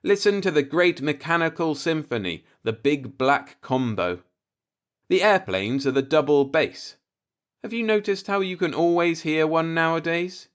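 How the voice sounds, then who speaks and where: A man's voice: fairly high pitch, expressive, a little slow, clean tone, pitched above the performer's own voice. A man reading the part of a brooding, disillusioned professor in an audiobook, musing in a pointed, rhetorical monologue. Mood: sardonic wonder. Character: brooding, disillusioned male professor philosophizing bitterly.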